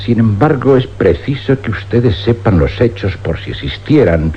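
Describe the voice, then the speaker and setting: An actor playing a weary older scientist in a suspense radio drama, speaking with grave deliberation and dramatic pauses in an accent from Spain. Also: male voice, lowish voice, animated, natural voice. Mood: guarded, weary. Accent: Spanish, from Spain. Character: weary older scientist confessing an uncanny experiment. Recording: dull, noisy old radio recording with hum.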